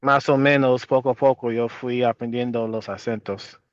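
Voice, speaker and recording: A man from Chicago with an American accent answering casually as an interview guest in learned Spanish, halting and correcting himself. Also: medium pitch, fairly even intonation, no room sound, highs cut off. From Chicago, United States. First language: English. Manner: halting, self-correcting second-language speech.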